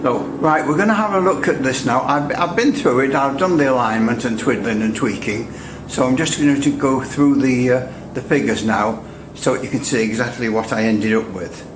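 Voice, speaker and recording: An echoey indoor recording of a man with a mid-pitched voice. He talks through a technical demonstration casually, rambling and hesitating as he goes, with a dry sense of humour. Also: moderate pace, background noise. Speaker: radio hobbyist presenting a repair demonstration. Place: indoors at a workbench. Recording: echoey room.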